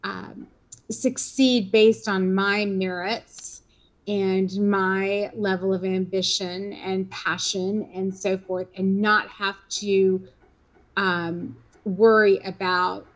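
A woman's voice: mid-range voice, level tone, takes it slowly, low-quality recording. Fifties woman, interview guest, rambling conversational delivery. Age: 50s. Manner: rambling, many fillers and restarts.

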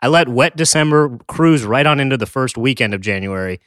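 A man's voice: mid-range voice, animated, fairly quick, fairly bright tone, no echo, clean studio sound. Wry, joking male podcast co-host. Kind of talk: casual podcast chat.

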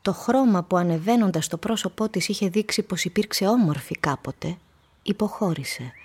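A woman narrator reading scripted literary prose for a radio play in a measured, storytelling manner. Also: medium pitch, slightly rough.

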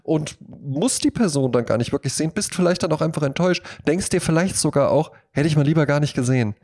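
A man's voice: a little high, lively intonation, fast, darkish tone. A man co-hosting a casual podcast, talking a lot in an emphatic, jokey way. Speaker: podcast co-host.

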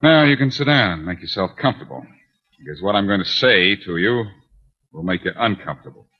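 Deep, husky, cocky radio detective. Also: male voice, expressive. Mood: cool, accusing. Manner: wry, taunting, unhurried. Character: smooth, cocky amateur detective, bantering and self-assured. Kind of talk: 1940s radio detective acting. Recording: dull, lo-fi 1940s radio recording.

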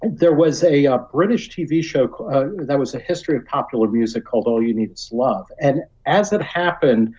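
A man's voice: mid-range voice, expressive, moderate pace, hardly any pauses, a little mellow, husky, lo-fi sound. A middle-aged or older man with an American accent, talking as a podcast guest in a casual, reflective, rambling way full of "you know". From New York area, United States.

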